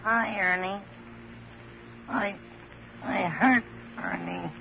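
A man's voice: high voice, fairly even intonation, drawn-out words, creaky, voice pushed up, full character voice. A man voicing a childlike, cheeky, wounded ventriloquist's dummy in old-time radio drama. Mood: hurt, plaintive. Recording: dull, noisy old radio recording.

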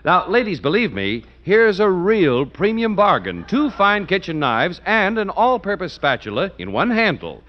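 A man announcing a radio show and reading a commercial in a brisk salesman's pitch, on a dull old radio recording.

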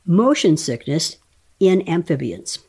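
A woman reading a scientific paper aloud as a guest dramatic reader, enunciating technical terms deliberately. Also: lowish voice, big pitch swings, a little mellow, husky.

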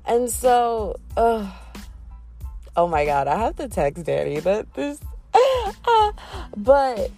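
A woman in her early twenties hosting a casual solo podcast, chatty and self-deprecating, often jumping up in pitch with giggles. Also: very animated, slow pace, long pauses, mellow, faint music behind. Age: 20s. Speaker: podcast host. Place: in a car.

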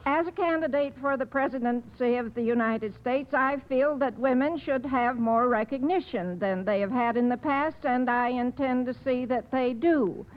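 A woman's voice: high-pitched, moderate pace, hardly any pauses. A woman panelist giving a mock political speech in a formal, oratorical manner. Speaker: quiz show panelist. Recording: dull old radio recording.

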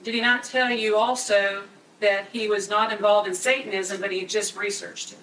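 A woman's voice: medium pitch, steady tone, normal speed, a bit bright, distant mic. A woman prosecutor cross-examining a witness in court, insistently demanding yes or no answers. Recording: echoey courtroom audio from afar.

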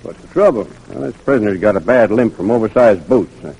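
An actor in an old-time radio drama playing an army prison sergeant, offhand, bossy and jeering. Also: male voice, fairly low pitch, animated, fairly quick, gravelly, mellow, slightly rough, full character voice, poor audio. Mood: offhand, needling.

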